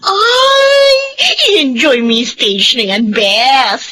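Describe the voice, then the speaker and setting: A woman's voice: high voice, huge pitch swings, extremely slow, bright, forceful tone, exaggerated voice. A woman playing an effusive, frank married best friend with a Filipino accent in a radio drama. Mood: teasing, frank.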